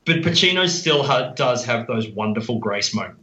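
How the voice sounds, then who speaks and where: The sound is roomy and slightly dull. A man with a mid-range voice chats about film as a podcast guest, haltingly thinking aloud as he goes. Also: mid-range voice, lively intonation, bright, forceful tone. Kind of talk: casual film discussion.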